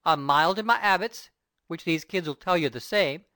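A woman's voice: on the low side, normal speed, a bit bright, no echo, clean studio sound, close to an everyday voice. A woman voicing a timid, earnest working-class burglar with a Cockney accent and ungrammatical, plaintive talk, as in an audiobook. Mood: earnest, smitten. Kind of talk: audiobook character reading.

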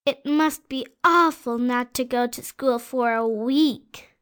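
A tearful, earnest little schoolboy from a storybook. His voice sits very high and sounds quite unlike an adult's, and he pleads eagerly and sincerely. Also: takes it slowly, a bit bright, smooth, full character voice. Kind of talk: storybook read-aloud.

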